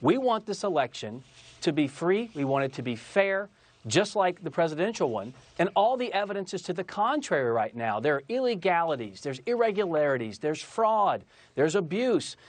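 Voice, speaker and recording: A man's voice: a little high, sing-song, moderate pace, dry recording. A man speaking as a political spokesman in a TV interview, arguing emphatically and combatively with repeated phrases for stress. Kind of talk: argumentative TV interview talking points.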